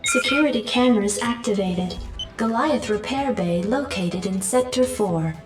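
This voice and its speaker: An actress playing a cool military computer adjutant in a sci-fi video game, clipped, impersonal and formal. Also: female voice, mid-range voice, animated, moderate pace, lightly acted. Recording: echoey and distant, music behind.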